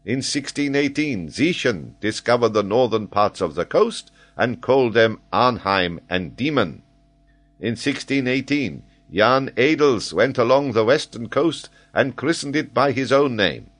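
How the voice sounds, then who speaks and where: A man voicing a proud, excitable French geographer from a storybook, pedantic and emphatic as he lectures. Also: medium pitch, unhurried, voice pushed up. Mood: eager lecturing.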